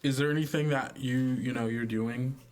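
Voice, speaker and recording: A man running a tabletop roleplaying game as game master, narrating in a halting, conversational way with pauses. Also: mid-range voice, steady tone.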